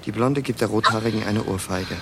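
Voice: medium pitch, brisk, creaky, heavy background noise, natural voice. A narrator reading stage directions in a radio play, matter-of-fact and detached.

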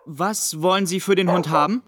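A young male performer playing an earnest boy in a German radio play, speaking formally and deliberately. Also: high voice, background noise, lightly acted.

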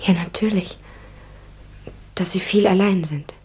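A woman's voice: medium pitch, measured pace, darkish tone, slightly rough. An actress in a German radio play playing a lonely, reserved woman who speaks tersely and hesitantly. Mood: gently probing. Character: reserved, lonely woman, wary yet gently sympathetic.

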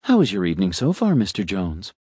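A man voicing a polite, complacent talking elevator that muses like a philosopher, speaking softly and dryly. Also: sits low, wildly swooping pitch, fairly quick, husky, no room sound, studio quality, exaggerated voice. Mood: courteous. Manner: polite, complacent, quietly musing.

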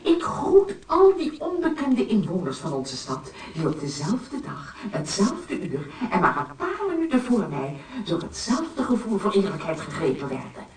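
Earnest housewife, echoey, dramatically swooping. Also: female voice, medium pitch, wildly swooping pitch, moderate pace. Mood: solemnly righteous. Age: 40s. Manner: solemn, declamatory. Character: earnest, self-righteous housewife in her forties making appeal. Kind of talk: Dutch radio comedy acting, televised speech. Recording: echoey, distant, slightly noisy.

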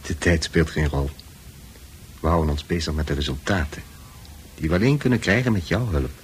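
An actor playing a calm, firm male psychiatrist in a radio drama, with a husky voice and a measured, insistent, patronising manner. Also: extremely low pitch, a little hiss or hum. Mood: firm, reassuring. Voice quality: husky, rough.

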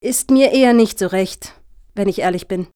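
An actress as a frank female activist in a satirical radio play, recorded dry. Her voice is mid-pitched. She comes across as keen, agreeable and direct. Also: moderate pace, dark tone, clean tone, no echo, close to an everyday voice.

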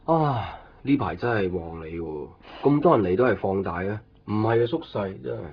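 An actor playing a gentle, teasing, devoted young man in a Cantonese radio drama, quiet and understated, with a Hong Kong accent. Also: fairly low pitch, expressive, moderate pace, husky. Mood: teasing, caring. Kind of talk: Cantonese radio drama acting, low-key and natural. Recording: dull lo-fi 1990s radio recording. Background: faint music.

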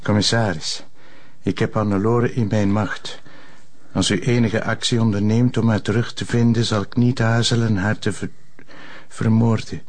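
An actor playing a loyal, cautious police sidekick with a Flemish accent in a crime drama, placating and reasonable. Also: male voice, fairly low pitch, flat delivery. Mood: grave reading. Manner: measured, placating.

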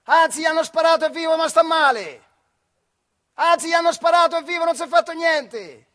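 A man plays an excited factory crier in a stage monologue, yelling urgently in a very high voice. The accent is central Italian, from the Marche, and the delivery is loud and alarmed. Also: fairly quick.

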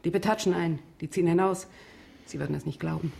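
An actress playing a jaded, blunt service worker in a radio play, speaking in a sardonic, naturalistic way. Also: female voice, on the low side, steady tone, fast talker, creaky, mellow. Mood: bitter, weary. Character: jaded, blunt woman working in premium customer service. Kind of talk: naturalistic radio drama acting.

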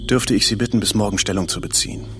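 A man's voice: lowish voice, close to an everyday voice. Actor as sober, insistent male boss over music. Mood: formal, pressing. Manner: measured, formal, insistent. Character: sober, formal male television boss confronting an employee. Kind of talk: radio play dialogue.

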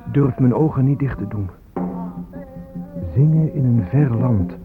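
A man's voice: medium pitch, unhurried, close to an everyday voice. An actor playing a weary, disillusioned, hungover man who broods in a radio drama monologue. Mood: weary, haunted. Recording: tinny, phone-like recording with music behind. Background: music.

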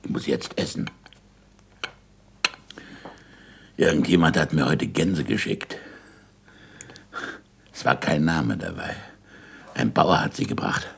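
An actor playing an ageing, disillusioned scientist in a German radio play, gravelly and husky, with deliberate, weighty delivery. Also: male voice, very deep voice, animated, a little hiss or hum, full character voice. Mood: weary. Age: older. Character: ageing, disillusioned scientist, bitter, self-accusing, fond of food.

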